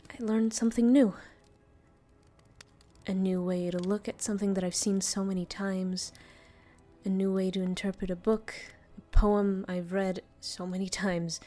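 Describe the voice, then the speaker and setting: A woman playing a centuries-old vampire master, tender and weary, confiding softly in an intimate audio roleplay. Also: mid-range voice, natural voice. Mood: tender, gentle. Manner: soft, slow, hesitant, confiding.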